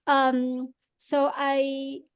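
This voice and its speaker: A middle-aged woman with a Mandarin Chinese accent, a real estate agent speaking halting English full of fillers and restarts in an interview. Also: high voice, monotone. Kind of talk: interview guest, unscripted.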